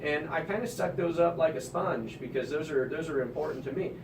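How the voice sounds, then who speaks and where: A man's voice: a little high, fairly even intonation, brisk, roomy sound, distant mic, noisy background. A man from Kansas City hosting a talk show and interviewing seniors, chatty and rambling with frequent restarts. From Midwest, Kansas City. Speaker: radio show host, mortgage broker. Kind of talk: casual interview chat.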